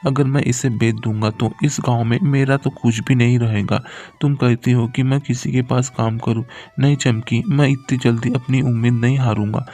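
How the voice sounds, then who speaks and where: A man voicing a kind, poor village farmer in a cartoon story, speaking earnestly and gently. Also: medium pitch, steady tone, moderate pace, hardly any pauses, natural voice. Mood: determined.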